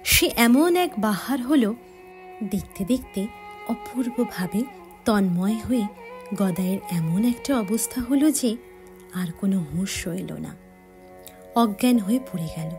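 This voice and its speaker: A woman tells a children's devotional story at an unhurried, slow pace, with a gentle, measured delivery. Her voice sits at a middling pitch and now and then turns soft and breathy. Faint music plays quietly underneath her. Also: lively intonation. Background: faint background music.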